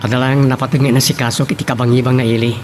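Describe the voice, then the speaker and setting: A man's voice: mid-range voice, little pitch movement, very fast, a little hiss or hum, lightly acted. A man playing a humble, encouraging young suitor in an Ilocano audio drama. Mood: gentle, encouraging.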